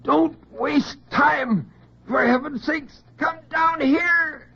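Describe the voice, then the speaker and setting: A man's voice: very high voice, very slow, creaky, voice pushed up, heavily acted. A man playing a desperate trapped husband screaming for help in classic radio horror. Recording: muffled old radio recording.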